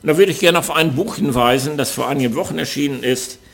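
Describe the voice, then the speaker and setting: An older man from the Ruhr area speaking with a Ruhr German accent, preaching an earnest, measured sermon with frequent hesitations. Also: fairly high pitch, vocal fry.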